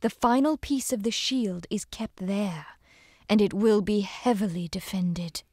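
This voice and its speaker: A woman's voice: medium pitch, unhurried, a little mellow, no room sound, studio quality, natural voice. A woman playing a serious, composed military strategist and ally in a video game cutscene. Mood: briefing.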